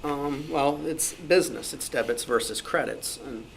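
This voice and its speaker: A man's voice: medium pitch, moderate pace, creaky, fairly bright tone, slight background noise, poor audio. A man talking informally and answering questions as a speaker at a club meeting. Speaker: club meeting speaker, author and convention organizer.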